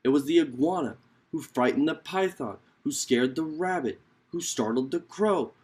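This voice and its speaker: A man's voice: a little high, animated, moderate pace, a little mellow, husky. Storybook lion king, male, commanding, declamatory, authoritative judge, voiced by a man. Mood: stern, declaring.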